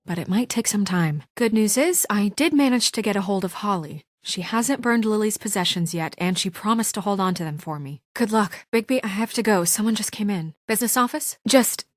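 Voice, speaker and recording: A woman voicing a brisk, authoritative, no-nonsense woman administrator under pressure in a video game, crisp, earnest and firm. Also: mid-range voice, animated, no echo, clean studio sound, close to an everyday voice. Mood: businesslike, hurried, then wry, focused. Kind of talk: naturalistic video game voice acting.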